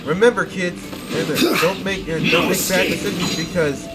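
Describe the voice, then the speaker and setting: A man's voice: high voice, steady tone, fairly quick, very noisy. A man giving casual, playful and mock-dramatic commentary on his own video game playthrough. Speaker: gamer narrating own playthrough. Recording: loud game audio and music behind. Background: game music and sound effects.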